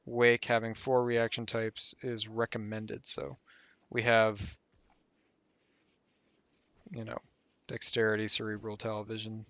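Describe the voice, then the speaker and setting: Man, slightly low voice, hoarse and worn out, relaxed rambling game commentary. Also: unhurried, long pauses, dry recording, muffled recording. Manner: relaxed, rambling, trails off with fillers. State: losing his voice. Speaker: gameplay guide host.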